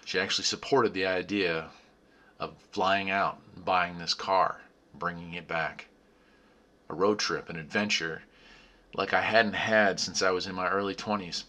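A man's voice: deep voice, fairly even intonation, a little slow. A man in his forties hosting a car restoration vlog, talking to camera outdoors and then narrating a personal story. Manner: upbeat on camera, then quiet storytelling voice-over. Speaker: restoration channel host. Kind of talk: vlog intro and reflective narration. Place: outdoors by a garage on gravel.

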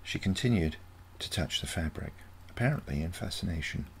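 A man's voice: low voice, animated, brisk, creaky, a little hiss or hum, low-quality recording. A man reading a short story aloud as scripted narration. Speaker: story reader.

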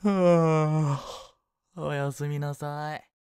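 A man acting a cheeky, laid-back high-school slacker in a romantic audio drama, with casual, teasing, blunt slang. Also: fairly even intonation, very slow, darkish tone, smooth, dry recording, studio quality. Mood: casual, nonchalant.

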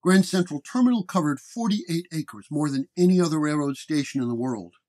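Male actor as hard-boiled 1930s detective narrator, world-weary and terse, medium-high male voice, radio drama. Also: expressive, a little fast, darkish tone, close to an everyday voice. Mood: matter-of-fact.

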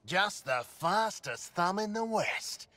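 A man voicing a cocky, crude thief in a video game, with a gravelly Australian accent. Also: sits high, lively intonation, slow pace, sharp tone, slight background noise, exaggerated voice. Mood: boastful.

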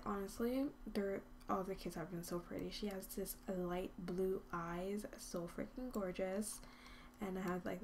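A woman's voice: medium pitch, darkish tone, slight background noise. A woman hosting a life-sim game video, chatting casually with lots of ums and dipping into whispers. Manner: chatty, rambling with many ums, often drops to a whisper. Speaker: gaming channel host. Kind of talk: casual let's-play commentary.

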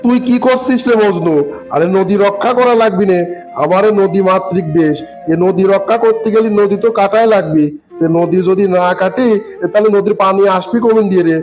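Corrupt, bossy cartoon official male actor, high voice, northern Bangladeshi accent, smugly condescending. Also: normal speed, darkish tone, faint music behind, natural voice. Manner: patronising, smugly explanatory. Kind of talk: comic cartoon dialogue.

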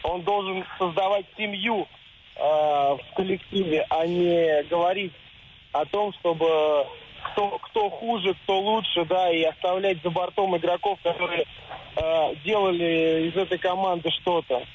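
Man playing an aggrieved, high-voiced star goalkeeper. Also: steady tone, unhurried, lo-fi sound, slight background noise. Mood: stubborn. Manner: argumentative, sarcastic, needling. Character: aggrieved, proud star male national team goalkeeper. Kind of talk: improvised comic radio sketch.

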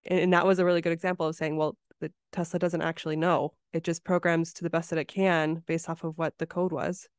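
A woman's voice: low voice, level tone, fairly quick, few pauses, smooth, no room sound, clean studio sound. A woman hosting a podcast, chatting casually and conversationally. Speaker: podcast host.

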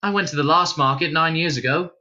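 A man's voice: on the high side, a little fast, bright, forceful tone, highs cut off, pitched above the performer's own voice. A man voicing a wary, polite, plain-spoken young village man in a storybook reading.

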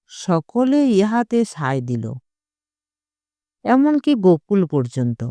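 Audiobook narrator for literary fiction: unhurried, smooth and clear, measured dramatic delivery. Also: medium pitch, very animated, warm tone, no echo. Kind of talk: expressive literary short-story narration.

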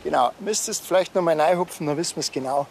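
Higher-voiced Bavarian cop, dry and bossy. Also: male voice, fast, gravelly, a little mellow, slight background noise. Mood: dry bickering. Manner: colloquial, laconic. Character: dry, bossy male small-town police officer. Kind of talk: TV crime comedy acting.

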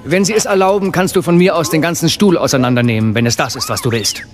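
A man's voice: on the high side, animated, fast talker, over music, natural voice. An actor playing a cautious, wry male warrior mentor in an audio drama, admonishing his pupil.

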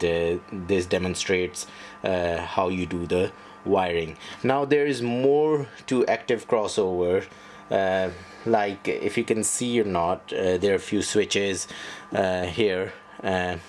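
A man presenting an unscripted step-by-step tutorial in a halting, hesitant way. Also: low voice, a little mellow, slight background noise. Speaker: presenter of an audio setup tutorial.

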